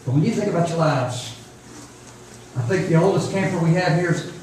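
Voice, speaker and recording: A man's voice: a little high, brisk, darkish tone. Older guy preaching at youth camp, folksy, repeats himself, far off mic, super echoey. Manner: folksy, rhetorical repetition. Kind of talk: informal sermon. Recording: echoey room, distant mic, faint noise.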